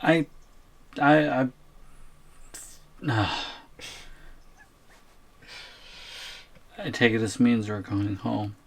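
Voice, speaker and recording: A man's voice: mid-range voice, slow pace, creaky. A man playing a scared, weary captive on the run in an audio roleplay, hesitant and muttering. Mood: resigned, defiant. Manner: hesitant, muttering to himself, pleading.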